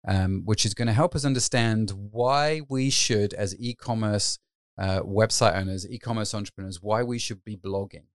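Upbeat host in a dry room. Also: male voice, lowish voice, moderate pace, dry recording. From United Kingdom. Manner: upbeat, eager questioning. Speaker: podcast host. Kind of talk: interview hosting.

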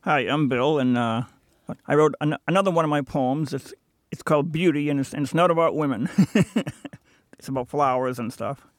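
An older man at a senior center reading his own rhyming poem, halting and self-amused at the start. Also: on the high side, no room sound, studio quality.

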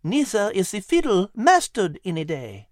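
A clever, gentle French lady animal from a children's story, put on by a man. The voice sits high and is recorded dry, with a French accent and a genteel, composed way of explaining things. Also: huge pitch swings, normal speed, no echo. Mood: composed, instructive. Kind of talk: children's storybook character reading.

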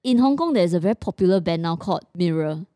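A woman's voice: lowish voice, expressive, quick pace, warm tone, no echo, clean studio sound. A Singaporean woman chatting casually as a podcast co-host, colloquial, often checking in with "right" and "you know". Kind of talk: casual chat.

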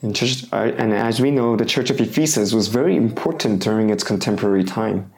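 Hoarse pastor with a cold, halting sermon. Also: male voice, lowish voice, darkish tone. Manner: halting, with frequent restarts and fillers.

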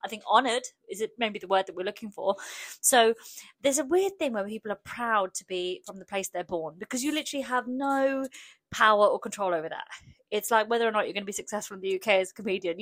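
A woman's voice: mid-range voice, no echo. Frank, jokey woman. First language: Polish. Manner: frank, jokey, animated storytelling. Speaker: comedian. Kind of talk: casual monologue.

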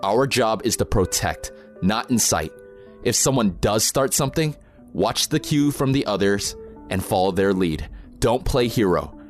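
A man's voice: on the low side, expressive, unhurried, soft background music. A man playing an experienced, protective protest organizer in an audio drama, plain-spoken, informal and matter-of-fact. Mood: firm briefing. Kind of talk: naturalistic audio drama acting.

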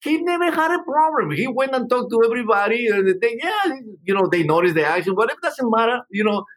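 A man's voice: very high voice, sing-song, a little fast, fairly bright tone. An older man from Peru, a musician telling stories in an interview, rambling with many restarts. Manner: rambling, frequent you know and restarts. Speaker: musician guest. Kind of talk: storytelling interview answers.

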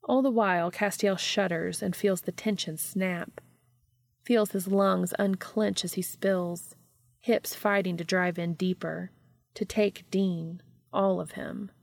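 A woman's voice: lowish voice, fairly even intonation, slow, creaky, no room sound. A woman reading scripted fiction as an audiobook narrator.

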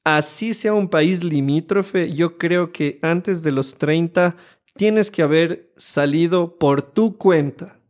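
A man in his thirties from Ecuador with an Ecuadorian accent, co-hosting a casual podcast in a studio and acting out the people he quotes. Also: fairly high pitch. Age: 30s. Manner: animated, acts out quoted people, slows to stress points. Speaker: podcast co-host. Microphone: studio microphone.

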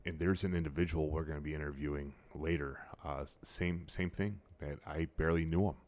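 A middle-aged man hosting a casual podcast from his dining room, telling stories animatedly with loud bursts. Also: a bass voice, fairly even intonation, a little fast. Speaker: podcast host.

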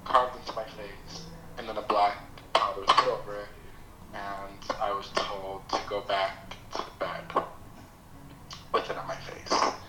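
A man from Nigeria whose first language is Yoruba, telling his life story slowly and reflectively as a podcast guest. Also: fairly low pitch, level tone, echoey room, noisy background. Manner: slow, reflective, hesitant with pauses. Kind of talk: personal storytelling.

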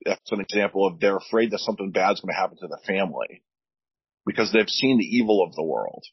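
A man with a deep voice, a veteran speaking earnestly on a podcast, often repeating words as he searches for them. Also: expressive, fast, breathy edge, muffled recording. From United States. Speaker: veteran guest. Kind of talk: candid podcast talk.

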